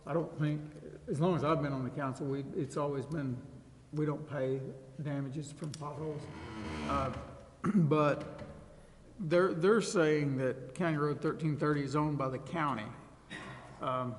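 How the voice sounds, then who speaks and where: An American man explaining a point as a council member at a public meeting. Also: a little high. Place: large indoor council chamber. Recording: echoey hall, background noise.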